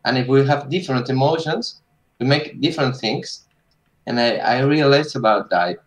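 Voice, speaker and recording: Guy in his 30s on a video call, earnest and reflective, nonnative english, mid pitch. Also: normal speed. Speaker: panelist, digital painter. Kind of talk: video call panel discussion. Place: home room with bookshelves.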